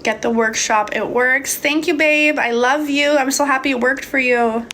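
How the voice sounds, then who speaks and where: Young woman from hungary, slightly high voice, casual livestream coach, swears, calls people babe, phone mic, faint noise. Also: steady tone, moderate pace, fairly bright tone. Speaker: manifestation coach. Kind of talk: casual livestream Q&A, reading viewer comments.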